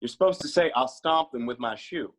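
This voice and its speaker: A man's voice: medium pitch, a little fast, natural voice. A man playing a goofy, teasing cowboy bar worker in a screenplay table read, jokey and folksy.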